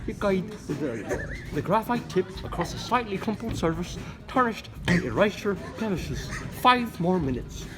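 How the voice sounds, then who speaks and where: A man's voice: sits high, sing-song, a little slow, few pauses. A young man joking around with friends on a set, swearing and reading out a text in a mock documentary voice. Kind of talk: casual joking and mock narration. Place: indoors, on set. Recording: music playing loudly behind. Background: music.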